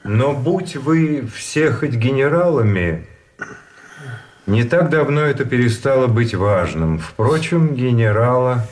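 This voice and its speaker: An actor playing a weary, fatalistic prisoner in a radio drama, speaking in measured, polite, deliberate phrases. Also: male voice, medium pitch, expressive, darkish tone. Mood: grave, resigned. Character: weary, fatalistic imprisoned private explaining grim rules. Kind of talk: Russian radio drama acting. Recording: music under the voice.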